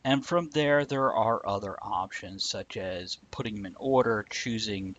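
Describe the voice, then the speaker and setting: Through muffled, low-fidelity livestream audio comes a man hosting a casual show. He talks informally, mixing wry jokes with plain walk-through explanations. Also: measured pace, fairly bright tone. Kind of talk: casual joking banter and step-by-step explaining.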